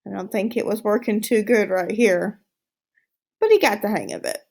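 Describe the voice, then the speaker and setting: A woman's voice: mid-range voice, normal speed, vocal fry, darkish tone. A woman reading a storybook aloud to children, adding casual asides like a teacher.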